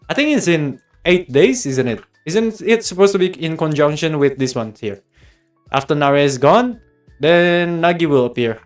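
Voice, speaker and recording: A man from Australia hosts a casual game livestream. His voice sits a little higher than average for a man, and he talks in a chatty way straight to his viewers. Faint music plays behind him. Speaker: livestream host and guide maker. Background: background music.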